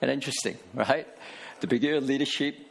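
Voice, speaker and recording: A man's voice: medium pitch, fast talker, creaky, husky. A man in his forties, a pastor giving a casual sermon in church with many fillers and restarts. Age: 40s.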